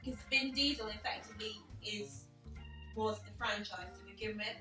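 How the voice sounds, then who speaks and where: A woman's voice: mid-range voice, steady tone, moderate pace, far from the mic. A woman chatting casually as a panelist in a group film discussion. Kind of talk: casual chat. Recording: echoey room, off mic, music playing behind.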